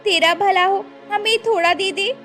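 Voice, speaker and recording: A woman's voice: extremely high pitch, measured pace, fairly bright tone, soft background music, pitched above the performer's own voice. A woman voicing a poor village mother in a cartoon story, pleading and begging for food.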